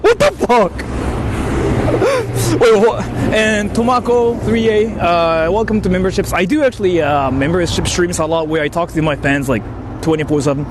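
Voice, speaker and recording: A man's voice: high-pitched, moderate pace, background noise. A man livestreaming a casual rant, incredulous and exclamatory, swearing often as he reacts to criticism. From Japan. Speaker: livestreamer and YouTuber.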